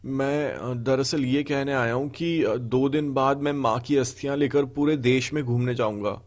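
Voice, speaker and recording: A man voicing a hurt, pleading adult son in an animated story, speaking with earnest reproach. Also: medium pitch, normal speed, natural voice. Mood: subdued. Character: hurt, pleading adult son confronting his drinking father. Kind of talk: animated story dialogue acting. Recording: background music under the voice.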